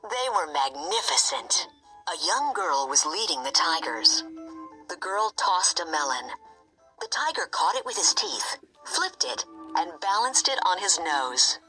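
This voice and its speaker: A woman narrating a scripted children's story in an expressive, animated way. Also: on the low side, unhurried, faint music behind, rough recording. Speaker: storybook reader.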